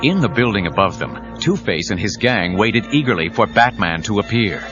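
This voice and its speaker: A man's voice: lowish voice, animated, normal speed, close to an everyday voice. A man narrating an action audio drama with urgent, suspenseful scripted delivery. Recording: dull cassette audio with dramatic music.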